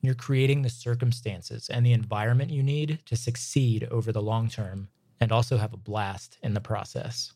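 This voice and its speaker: A man presenting a scripted lesson in an informal, emphatic way, his voice slightly hoarse from long reading. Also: mid-range voice, fairly even intonation, moderate pace, few pauses, mellow, dry recording, studio quality. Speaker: podcast host and filmmaking instructor. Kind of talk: scripted lesson read aloud, conversational.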